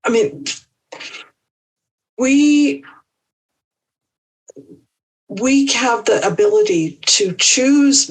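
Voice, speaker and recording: A woman speaking as an empowerment coach in an interview, measured and thoughtful with small restarts. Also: mid-range voice, creaky, slightly rough, distant mic, poor audio. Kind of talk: reflective interview answers.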